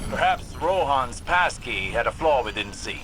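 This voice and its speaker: A man voicing a stern, measured mentor. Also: mid-range voice, background noise, natural voice. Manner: calm, measured, commanding. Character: stern wise male mentor and mission overseer. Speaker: mission guide character in a video game. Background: game music.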